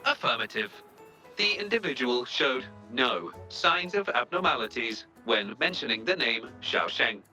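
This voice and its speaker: A man's voice: medium pitch, lively intonation, measured pace, pushed and bright, faint music behind. A man voicing a small, polite robot assistant in a video game, speaking in formal, literal, procedural announcements. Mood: analytical, detached. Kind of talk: video game character dialogue.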